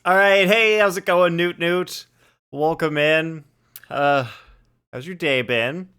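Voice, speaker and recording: Bright-voiced young man livestreaming. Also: a little high, clean tone. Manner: rambling, halting, searching for words. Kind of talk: casual livestream chat, telling anecdotes to viewers.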